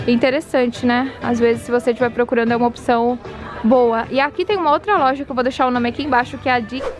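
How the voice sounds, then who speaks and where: A woman casually vlogging her walk through a large department store, reading out prices and talking straight to her viewers. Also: fairly high pitch. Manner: chatty, reads out prices, addresses viewers. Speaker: shopping vlogger.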